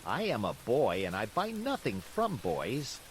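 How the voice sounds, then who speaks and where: A man's voice: mid-range voice, a little slow, a little hiss or hum, poor audio. A man voicing a mocking, sensible little village boy in a bedtime story, with a teasing, dramatic delivery. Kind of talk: bedtime storybook character reading. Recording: steady rain sounds behind the voice.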